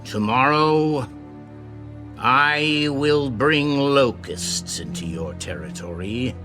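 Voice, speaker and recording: A man playing a stern, resolute prophet in a dramatized scripture recording, deliberate and declamatory. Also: mid-range voice, animated, pushed and bright, soft background music. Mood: stern, warning. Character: Moses, stern resolute prophet confronting a king. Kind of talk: dramatized scripture audio acting.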